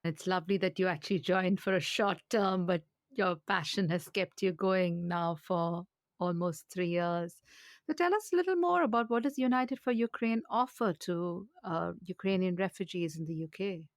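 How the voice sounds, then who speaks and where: A woman with a Mancunian accent hosts a podcast interview in a slightly low voice. Her delivery is polished and scripted in the introduction, then turns to gentle questions. The close mic sounds dry, with no room around it. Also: steady tone, normal speed, dry recording. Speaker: podcast host.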